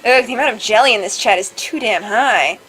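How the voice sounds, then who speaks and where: Female let's player, slightly high voice, playful line reading with game music behind. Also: a little fast, fairly bright tone, rough recording. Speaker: let's play host. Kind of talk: reading visual novel dialogue aloud with casual banter.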